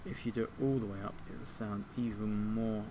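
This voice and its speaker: Guy with a lowish voice walking thru software step by step, kinda hesitant, clear hiss and hum behind him. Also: steady tone, fairly quick. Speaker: music production tutor. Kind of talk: software tutorial, informal step-by-step demonstration.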